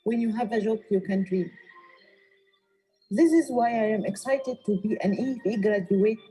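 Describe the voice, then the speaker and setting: A woman's voice: on the high side, normal speed, mellow, slightly rough, soft background music, poor audio. A young woman from Egypt narrating a scripted personal testimonial as a technician.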